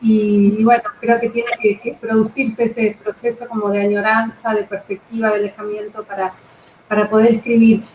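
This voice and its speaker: A woman's voice: medium pitch, flat delivery, normal speed, darkish tone. A woman conducting a conversational literary interview, phrasing her thoughts in a reflective, tentative way. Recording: roomy echo with clear background noise.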